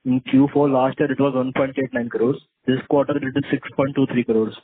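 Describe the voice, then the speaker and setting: An Indian-accented man with a husky voice, an analyst asking deferential but persistent questions on a call. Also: mid-range voice, flat delivery, a little fast. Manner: deferential, persistent, often says sir. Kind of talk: spontaneous conference call questions. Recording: muffled, narrow phone line.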